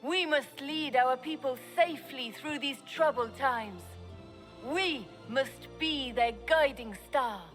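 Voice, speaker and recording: An actress voicing a regal empress giving a measured, solemn speech to her court in a video game. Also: sits high, fairly bright tone, in a large hall, soft background music.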